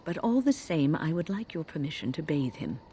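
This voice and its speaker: Very low, formal, fastidious woman. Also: very animated, heavy background noise. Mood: formal, composed. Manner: formal, measured phrasing. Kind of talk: video game dialogue acting.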